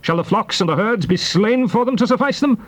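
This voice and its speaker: An actor playing a burdened prophet in a scripture drama, declaiming earnestly. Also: male voice, sits high, expressive, brisk. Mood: incredulous protest. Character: Moses, burdened male prophet pleading with God. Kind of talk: dramatized scripture reading in archaic English. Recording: faintly hissy old tape.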